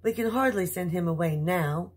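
A woman's voice: fairly low pitch, normal speed, slightly rough. A woman voicing a particular, commanding storybook queen in a prim, dramatic manner. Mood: softening.

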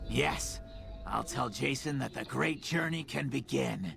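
A man playing a terse, serious rocket technician in a video game, with a gravelly voice and a formal, matter-of-fact manner. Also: mid-range voice, a little slow, a bit bright.

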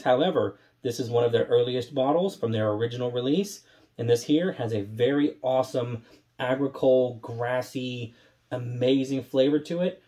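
A man in his thirties from Texas with a slightly raspy, allergy-congested voice, chatting enthusiastically while reviewing rums at a home bar. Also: medium pitch, warm tone. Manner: enthusiastic, conversational, holding up bottles while tasting. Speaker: rum reviewer. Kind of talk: casual spirits review. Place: indoor home bar room.

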